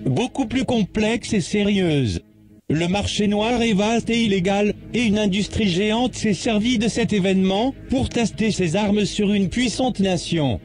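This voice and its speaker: High male voice, acted by a man for a knowing, coolly treacherous game machine, matter-of-fact, faint music behind. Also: unhurried, a little mellow. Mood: explaining. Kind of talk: visual novel character dialogue.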